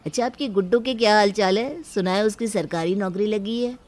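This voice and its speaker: A woman's voice: mid-range voice, a little fast, a little mellow, natural voice. A woman playing a sociable, polite middle-aged father, proud of his daughter, in a cartoon story. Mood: cheerful. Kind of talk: acted cartoon story dialogue.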